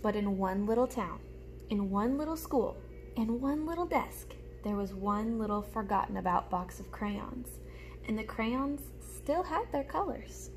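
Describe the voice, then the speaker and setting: Young woman reading aloud, fairly high voice. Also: expressive, unhurried, poor audio. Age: young adult. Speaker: teacher reading aloud to pupils. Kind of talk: storybook read-aloud with teacherly asides. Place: small room at home, indoors. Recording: clear background noise, room ambience.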